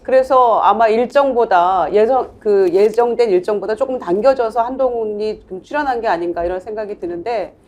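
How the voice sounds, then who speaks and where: A woman's voice: mid-range voice, brisk, hardly any pauses. A woman, a former lawmaker on a political panel, speaking firmly and critically. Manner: firm, critical and steady. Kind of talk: political debate commentary.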